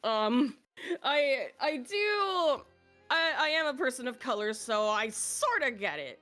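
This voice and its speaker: A woman's voice: high-pitched, big pitch swings, slow pace, fairly bright tone, soft background music. A woman giving playful color commentary on an esports match. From United States. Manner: playful, laughs easily.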